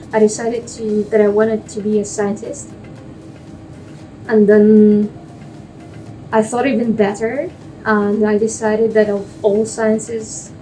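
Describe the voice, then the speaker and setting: A woman's voice: fairly high pitch, warm tone, far from the mic, poor audio. A young woman from Russia, a native Russian speaker, answering as an interview guest and explaining research in plain terms. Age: young adult.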